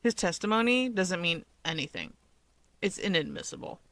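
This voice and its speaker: A stubborn teenage boy, put on by a woman narrator in an audio story. He talks in a formal, literal deadpan, measured and matter-of-fact, recorded close and dry. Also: fairly low pitch, no echo.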